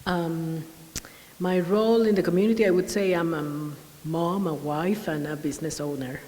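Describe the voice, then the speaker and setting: A woman's voice: on the low side, fairly even intonation, normal speed, mellow, slight background noise. A woman from Mexico introducing herself as a panelist, hesitant, with frequent ums. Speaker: panelist, business owner. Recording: long hall reverb.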